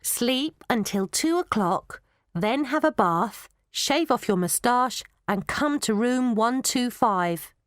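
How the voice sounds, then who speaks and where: A woman's voice: on the high side, lively intonation, takes it slowly, no room sound, studio quality, pitched above the performer's own voice. A woman voicing a clever young girl who gives polite but firm instructions in a storybook reading. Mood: commanding.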